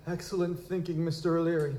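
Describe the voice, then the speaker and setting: Formal, touchy young aristocrat, male actor, higher soft-toned voice, precise courtly diction, audio drama, echoey noisy room. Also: flat delivery, fast talker, natural voice. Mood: polite, formal. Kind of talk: live audio drama acting. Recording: roomy, echoing, noisy live recording.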